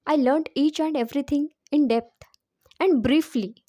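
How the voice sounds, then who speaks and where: Female English tutor, non-native speaker, high soft-toned voice, explanatory and repetitive for emphasis. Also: normal speed, dry recording, studio quality. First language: not English. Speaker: English teacher giving advice. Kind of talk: informal spoken lesson drawing on personal experience.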